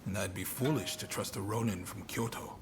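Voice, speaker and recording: An actor playing a shrewd Shogunate official in a video game, speaking in a casual, confiding way. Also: male voice, on the low side, fairly quick, background noise, close to an everyday voice. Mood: persuasive. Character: shrewd Shogunate official recruiting trusted agents. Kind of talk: video game cutscene acting.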